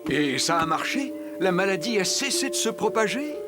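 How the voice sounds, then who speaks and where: A man's voice: high voice, big pitch swings, moderate pace, over loud music. A man voicing an earnest, resolute animal leader in a cartoon dub. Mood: earnest, pressing. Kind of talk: French cartoon dub acting.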